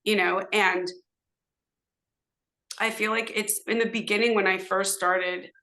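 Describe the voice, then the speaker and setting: A woman's voice: medium pitch, fairly even intonation, measured pace, bright, forceful tone. A middle-aged American woman from Southern California, a native Hebrew speaker, chatting casually and at length as a podcast guest in an informal, rambling way. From Southern California, United States. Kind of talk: casual conversational interview.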